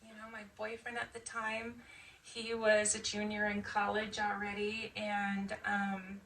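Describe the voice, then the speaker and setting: A woman in her fifties with an American accent, chatting casually and haltingly as she tells her own story in an interview. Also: mid-range voice, monotone, fairly bright tone. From United States, Nevada and Michigan. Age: 50s. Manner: chatty, often chuckling, halting. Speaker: interviewee, military veteran. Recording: distant mic, faint background noise.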